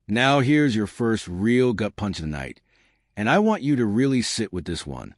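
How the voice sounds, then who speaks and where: A man's voice: lowish voice, sing-song, moderate pace, no echo, clean studio sound. A man narrating a scripted dramatic story as a suspenseful storyteller who speaks straight to the listener. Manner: suspenseful, addressing listeners directly.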